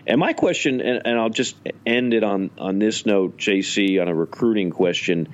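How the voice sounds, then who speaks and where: A man with a somewhat low voice co-hosts a casual sports podcast. He talks in an animated, rambling way and often restarts his sentences. The recording sounds dull and lo-fi. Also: normal speed. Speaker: podcast co-host.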